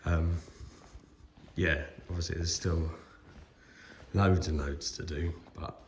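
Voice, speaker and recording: Man, croaky with a cold, very low gravelly voice, relaxed rambling with ums, handheld camera in a workshop. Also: slow, a little hiss or hum. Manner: relaxed, many ums, trailing off. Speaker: vlogger restoring a truck. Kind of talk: casual workshop walkaround.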